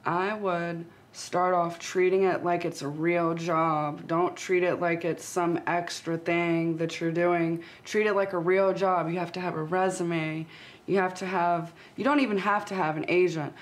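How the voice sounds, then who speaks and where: A woman, an actress and model, answering interview questions with steady, explanatory advice. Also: lowish voice, measured pace.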